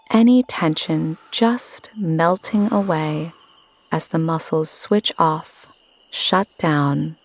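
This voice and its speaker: A woman leading a guided sleep meditation, calmly giving instructions in short phrases with long pauses. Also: low voice.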